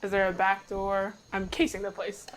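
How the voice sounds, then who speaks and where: A woman's voice: mid-range voice, monotone, moderate pace. A woman speaking casually as a player in a tabletop roleplaying game. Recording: heavy background noise with clicks.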